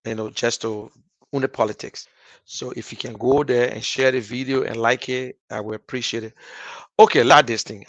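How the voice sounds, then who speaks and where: A Liberian man is talking politics straight to his viewers. His pace is very unhurried, and he starts off soft and quiet. Then he swells into loud, heated emphasis. The sound is close and dry. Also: medium pitch, no echo. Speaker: political commentator.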